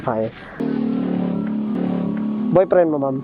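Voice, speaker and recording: A man's voice: fairly high pitch, animated, slow, over music. A Filipino man working as a motorcycle taxi rider and vlogger, chatting casually in a polite, teasing way. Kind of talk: casual chat with passengers and passers-by. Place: outdoors on a motorcycle in the street. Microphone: helmet intercom. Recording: muffled helmet intercom with loud road noise.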